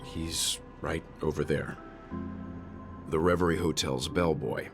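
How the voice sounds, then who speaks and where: An actor playing a composed, thoughtful mature man in a video game, speaking in a measured, formal and deliberate way. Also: rumbling low, steady tone, vocal fry, over music, heavily acted. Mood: calm, curious.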